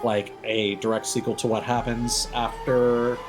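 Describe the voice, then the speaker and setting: A man's voice: mid-range voice, little pitch movement, a little slow. A man giving casual, rambling and opinionated commentary as a game livestreamer. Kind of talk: casual gaming stream commentary. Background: music.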